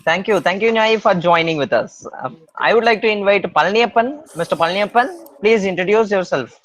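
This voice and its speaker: A man's voice: high-pitched, lively intonation, a little fast, a bit bright. A man hosting an online meetup, inviting people one by one in a hesitant way with many fillers. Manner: hesitant, many "uh" fillers, calls names in turn. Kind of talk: casual virtual meeting. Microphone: video call. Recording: dull, dry video call audio.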